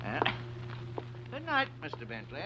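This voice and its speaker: A man's voice: a little high, huge pitch swings, measured pace. A sly, wheedling pet shop man. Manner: wheedling, insistent, chuckling. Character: sly, pushy male pet shop owner. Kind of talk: 1940s radio acting. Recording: old radio recording with faint hiss.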